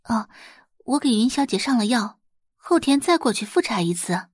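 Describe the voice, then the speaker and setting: A woman's voice: fairly high pitch, animated, moderate pace, slightly rough, no room sound, clean studio sound, pitched above the performer's own voice. A woman doing a sweet, assured young heiress. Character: poised, confident young heiress doctor.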